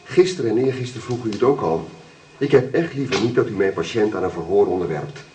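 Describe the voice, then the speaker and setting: Distant, lowish-voiced, firm doctor man acting. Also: fast, mellow, natural voice. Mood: reluctant, firm. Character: protective, reluctant male hospital doctor. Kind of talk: radio drama acting.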